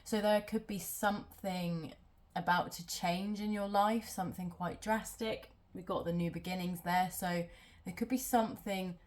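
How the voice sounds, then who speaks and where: A woman's voice: lowish voice, steady tone, moderate pace, darkish tone. Chatty woman with a stuffy nose. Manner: chatty, rambling, many ums. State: nasal from a cold. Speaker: tarot reader. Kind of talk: casual tarot reading to camera.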